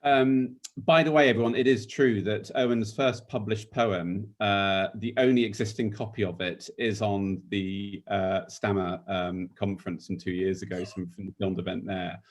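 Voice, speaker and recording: A man's voice: lowish voice, steady tone, normal speed, few pauses. A man hosting a webinar, reading out audience questions in an informal, hesitant way with many fillers. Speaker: webinar host, author. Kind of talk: moderating questions. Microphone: video call.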